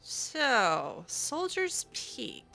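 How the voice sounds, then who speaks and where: A woman roleplays her way through a fantasy game in easy, chatty talk, hesitant and full of fillers with a wry edge. Her voice is bright and swings widely in pitch. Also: huge pitch swings, drawn-out words, gravelly, faint music behind. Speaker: roleplay streamer. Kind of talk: casual in-character roleplay chat.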